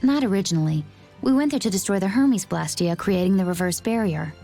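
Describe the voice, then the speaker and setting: Calm warrior lady for a game, mellow voice, composed n measured, light music behind. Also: medium pitch, gravelly. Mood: composed, serious. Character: poised, cool-headed young woman warrior. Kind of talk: English game dub voice acting.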